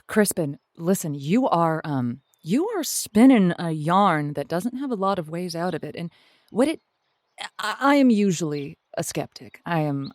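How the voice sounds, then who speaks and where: A woman playing a dryly amused advice-show host, chatting with callers in a hesitant, deadpan way on a comedy show. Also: low-pitched, no echo. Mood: curious, skeptical. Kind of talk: improvised comedy call-in chat.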